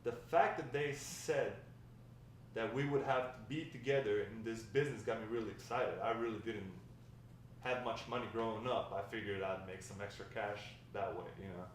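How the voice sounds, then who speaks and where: A man's voice: lowish voice, close to an everyday voice. Echoey, distant man reading as a chatty nostalgic grandfather. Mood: reminiscing. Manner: conversational, rambling storytelling with hesitant pauses. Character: nostalgic, talkative Mexican-American grandfather, warm and encouraging. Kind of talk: amateur table read of a script. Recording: echoey room, distant mic, faint noise.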